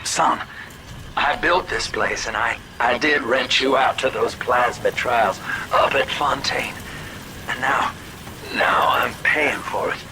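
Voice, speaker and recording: A slick, wry older businessman with a drawl. His medium-pitched voice is familiar and folksy, that of a world-weary schemer. It is video game dialogue with noticeable machinery-like ambience behind it. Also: takes it slowly, noisy background. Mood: pained, resigned.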